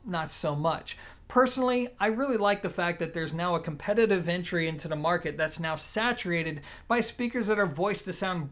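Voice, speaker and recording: A man in his thirties with a slightly high-pitched voice reviews gear in a casual style. He speaks straight to his viewers, conversational and plain, like a regular host of his own channel. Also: fairly bright tone. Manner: conversational, addresses viewers directly. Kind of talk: casual product review to camera. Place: indoor room at home, seated.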